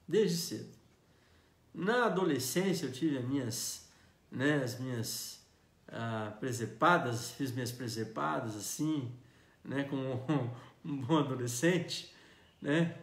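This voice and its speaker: A Brazilian man in his fifties, a pastor preaching a sermon earnestly, reading scripture with emphasis and slowing down on key words. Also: mid-range voice, lively intonation. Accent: Brazilian Portuguese. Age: 50s. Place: church sanctuary. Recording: echoey church hall.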